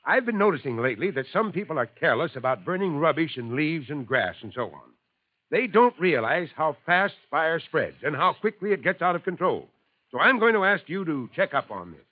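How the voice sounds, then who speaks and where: A steady fatherly fire chief on old radio. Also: a little high, fairly quick, no room sound. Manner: calm, kindly authority. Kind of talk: 1950s radio drama acting. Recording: tinny old radio broadcast.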